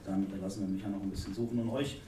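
A man's voice: lowish voice, steady tone, rapid-fire. Middle-aged male emcee, playful announcer, roomy far-off hall sound with noise. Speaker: slam host. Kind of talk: live event hosting. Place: theatre stage. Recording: echoey hall from a distance, audible noise.

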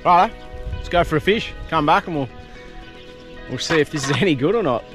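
Aussie guy hosting a bush vlog, bit high pitched, laughs a lot, music playing behind. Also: fairly quick. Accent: Australian. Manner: laughing, teasing, excitable. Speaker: vlog host. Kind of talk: casual outdoor chat. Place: outdoors by a creek in the bush.

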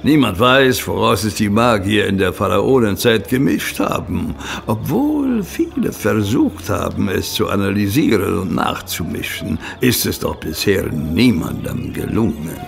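An actor playing a sly, secretive old Egyptian sheikh and antiquities dealer in an audio drama. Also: male voice, lowish voice, very animated, heavily acted. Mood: mysterious, proud. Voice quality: gravelly, creaky. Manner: slow, theatrical, secretive. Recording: music under the voice.

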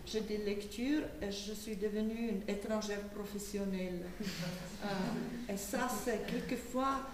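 A woman's voice: medium pitch, steady tone, a little slow, noisy background. A woman, an author on a literary panel, speaking hesitant French and searching for words. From Slovakia. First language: Slovak. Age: 60s. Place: small dim event hall with audience. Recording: echoey room from a distance.

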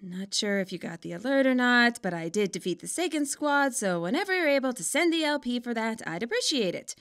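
A businesslike teenage schoolgirl, read out by a woman narrator in a story. She is polite and a little pushy, with a fairly high, bright voice. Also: big pitch swings, brisk. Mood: politely insistent. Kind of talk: storybook character reading.

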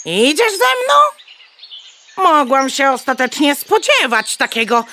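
A woman's voice: high-pitched, very animated, unhurried, heavily acted. A woman playing a bossy, indignant mother hen in a children's radio play, lecturing haughtily with clucking tics. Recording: bird chirps and noise behind. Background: birdsong, farmyard ambience.

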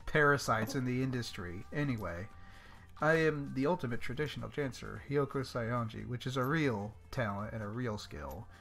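A man's voice: mid-range voice, normal speed, gravelly, fairly bright tone, soft background music. A man role-playing in an online game over voice chat, snarky and sarcastic. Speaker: player.

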